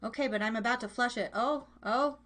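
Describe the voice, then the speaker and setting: A woman's voice: on the high side, fairly quick, heavy background noise. A woman hosting a live internet radio show, chatting casually in a playful, rambling way and repeating her phrases as she runs games.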